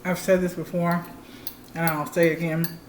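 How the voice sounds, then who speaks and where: A woman's voice: fairly low pitch, flat delivery, moderate pace, reverberant, slight background noise. A woman in her thirties chatting candidly and explaining things plainly to viewers as a channel co-host. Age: 30s. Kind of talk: casual chat.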